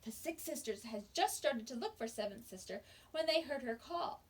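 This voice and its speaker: A woman teacher reading a picture book aloud to young children with expressive storytelling and dramatic pauses. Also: fairly high pitch, background noise. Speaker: kindergarten teacher.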